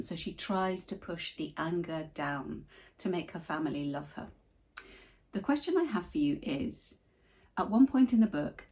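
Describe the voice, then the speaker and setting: A woman's voice: on the low side, a little mellow. A woman author introducing a book and posing a trivia question.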